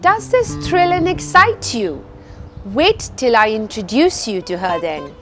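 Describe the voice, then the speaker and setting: A woman's voice: fairly high pitch, big pitch swings, unhurried. A woman hosting a talk show, with a playful, sing-song way of talking. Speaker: host. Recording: music playing behind.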